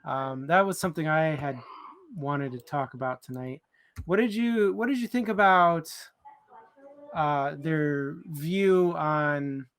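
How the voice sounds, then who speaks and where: A man's voice: fairly high pitch, fairly bright tone, smooth. A man co-hosting a casual livestream book chat, talking conversationally and posing questions. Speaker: book discussion co-host.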